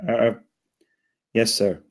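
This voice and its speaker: A man's voice: lowish voice, fairly even intonation, very slow. A man playing an anxious, eager spaceship crew leader, flustered and hesitant, at a comedy table read.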